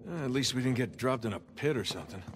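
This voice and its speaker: A man's voice: medium pitch, expressive, fast, noisy background, lightly acted. An actor playing a wry, joking male treasure hunter in a video game cutscene, trading dry banter.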